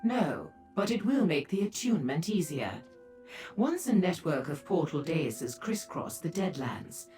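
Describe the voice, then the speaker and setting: A woman's voice: lowish voice, sing-song, unhurried, warm tone, soft background music. A woman voicing a sly, seductive and haughty female demon dealer in a fantasy video game, with a teasing manner. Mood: haughty, wry.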